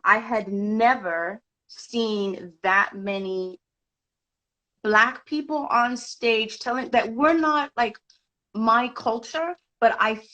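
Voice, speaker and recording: A woman's voice: medium pitch, fairly bright tone, poor audio. Reflective woman from Zimbabwe, guest on a theatre podcast, storytelling manner. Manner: reflective storytelling, frequent restarts. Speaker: guest actor and director. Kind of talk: podcast interview.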